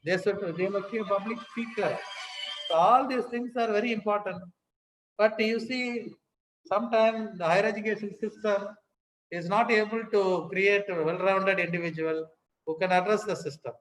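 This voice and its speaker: A man's voice: high-pitched, a bit bright, slight background noise. Emphatic Indian man in an echoey hall. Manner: emphatic, repeats key words, many pauses. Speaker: academic speaker. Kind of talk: formal lecture.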